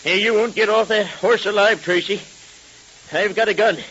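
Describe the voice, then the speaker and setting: Muffled old radio serial, taunting masked outlaw, high sharp voice, menacing, theatrical. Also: male voice, moderate pace, husky. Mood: menacing, gloating. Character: menacing, taunting hooded outlaw rider, adult male villain. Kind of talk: 1930s radio serial acting. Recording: muffled, hissy 1930s radio recording.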